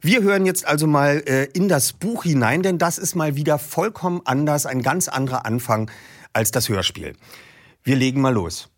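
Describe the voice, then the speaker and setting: A man's voice: on the high side, animated, normal speed. A man hosting a podcast who introduces the episode and then reads a passage from a book aloud. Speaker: podcast host and audiobook reader.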